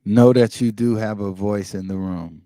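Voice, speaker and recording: A man from the Bahamas with a low, dark, mellow voice tells studio stories at an easy pace. He slips into quiet asides and acts out the people he quotes, and the close mic keeps the sound dry. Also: fairly even intonation, moderate pace, no echo. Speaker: music producer and DJ guest. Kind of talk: casual interview storytelling.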